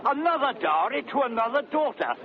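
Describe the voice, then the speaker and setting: A man's voice: extremely high pitch, sing-song, a little fast, background noise, full character voice. An actor playing a fussy elderly father-in-law, declaiming in a 1930s radio Shakespeare comedy. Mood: delighted. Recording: muffled 1930s radio with crowd behind.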